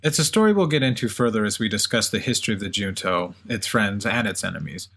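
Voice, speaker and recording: A man's voice: on the low side, expressive, quick pace, creaky, sharp tone. A man hosting a podcast with conversational, semi-scripted storytelling. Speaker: podcast co-host.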